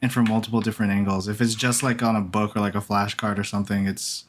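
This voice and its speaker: A young man with a fairly low voice chats easily on a podcast. He adds short agreements and laughs as the others talk, and keeps a loose, casual pace. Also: flat delivery, quick pace. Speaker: podcast co-host. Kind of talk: casual chat.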